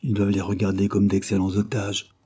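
A man's voice: fairly low pitch, monotone, brisk, warm tone, breathy edge. A man voicing a refined, knowing marquis in a storybook, with a fluty, composed delivery. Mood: matter-of-fact.

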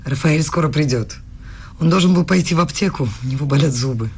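An actress playing an earnest, proud young woman student in a radio play, with a husky voice and a brisk, insistent manner. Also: deep voice, darkish tone. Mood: polite, guarded. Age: young adult.

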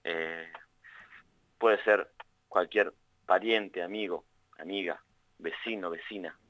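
A man with an Argentine accent giving a radio column over the phone, talking haltingly with many restarts. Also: low-pitched, fairly even intonation, fairly bright tone, no room sound, highs cut off. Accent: Argentine Spanish. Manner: hesitant, many restarts and fillers. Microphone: phone line.

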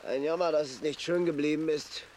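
Actor as high-pitched inquisitive gentleman. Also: steady tone, brisk, slight background noise, lightly acted. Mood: inquiring. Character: inquisitive gentleman passenger in a carriage. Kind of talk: radio play dialogue.

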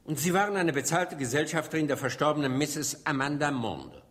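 Pointed, high-pitched male prosecutor. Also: lively intonation, fairly quick, a bit bright. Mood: formal, probing. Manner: formal, pointed, ironic cross-examining. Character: sharp, insistent male prosecutor in a courtroom. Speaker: actor in radio drama. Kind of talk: German radio drama acting.

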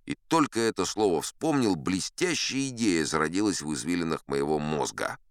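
A man's voice: sits low, fairly bright tone, clean tone, no room sound, clean studio sound, full character voice. An actor playing a boastful old sea captain, telling his tall tales in a folksy, self-assured, conversational way. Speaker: audiobook performer narrating in character. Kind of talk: dramatic first-person storytelling.

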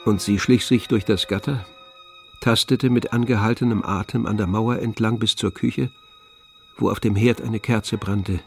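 A man narrating a literary radio play from a script in a measured, solemn manner. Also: lowish voice, fairly even intonation, vocal fry, soft background music.

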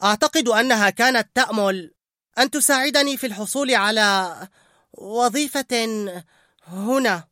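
A woman's voice: fairly high pitch, moderate pace, sharp tone, no room sound, studio quality, voice pushed up. A woman voicing a nervous, skinny young man who stammers and fumbles his words. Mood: flustered. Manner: stammering, flustered.

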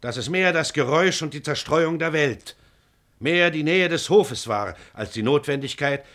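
A man's voice: mid-range voice, a bit bright, natural voice. An actor playing a stern, suspicious middle-aged father, proud and declamatory, in a classical radio drama. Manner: declamatory, severe. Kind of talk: classical stage-style radio drama acting.

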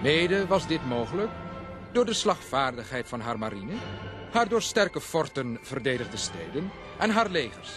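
An actor playing a grave eyewitness to a republic's ruin, reading a formal historical account in measured sentences. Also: male voice, mid-range voice, very animated, over music.

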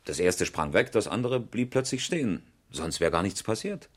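Indignant hobby racer, deep register, colloquial, scoffing delivery, 1970s radio drama. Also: male voice, sing-song, normal speed. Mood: matter-of-fact recounting. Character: breezy, indignant male amateur rally driver and engineer. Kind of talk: 1970s German radio crime drama acting.

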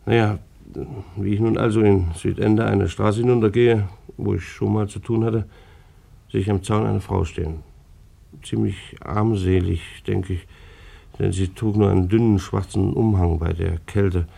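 A defensive German civil servant, a bailiff in a radio drama. His low voice has a creaky grain, and he speaks haltingly, bristling with wounded duty. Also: steady tone, warm tone, heavily acted. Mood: earnest recounting. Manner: halting, easily affronted. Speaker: bailiff being questioned. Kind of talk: German radio play acting.

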